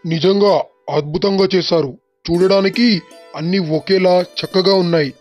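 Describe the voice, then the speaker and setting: A man's voice: high voice, fairly even intonation, moderate pace, lightly acted. A man playing a kindly, generous and appreciative village elder in a moral story cartoon. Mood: approving, generous. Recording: dull, muffled track with music. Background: background music.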